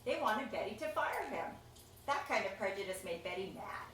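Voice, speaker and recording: A woman reading a children's picture book aloud, expressive and chatty, with casual asides about the pictures. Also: medium pitch, moderate pace. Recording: echoey room, distant mic, faint noise.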